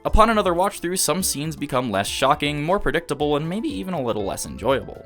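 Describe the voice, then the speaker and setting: A man's voice: on the high side, very animated, fast, fairly bright tone, soft background music. A man in his early twenties narrating a scripted video essay as an opinionated, enthusiastic fan. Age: early 20s.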